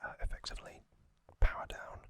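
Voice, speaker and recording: Whispering male ASMR host. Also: fairly bright tone. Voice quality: breathy unvoiced whisper. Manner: unhurried, halting, short phrases.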